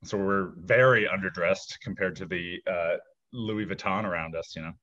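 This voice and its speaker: A man's voice: low-pitched, normal speed, a bit bright, muffled recording. A man chatting casually as a guest, telling rambling stories full of fillers. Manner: laid-back, rambling with fillers. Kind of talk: casual storytelling chat.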